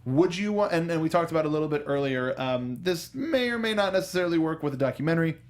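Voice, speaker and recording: Chatty man hosting, higher-pitched voice. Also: animated, fast. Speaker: host of a film discussion show. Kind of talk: casual chat, show hosting.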